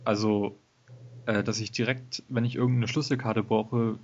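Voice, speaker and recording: A man co-hosting a casual gaming podcast, talking in a relaxed, hesitant way with many fillers. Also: lowish voice, normal speed. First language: German. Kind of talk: casual game review chat. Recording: dull lo-fi home recording.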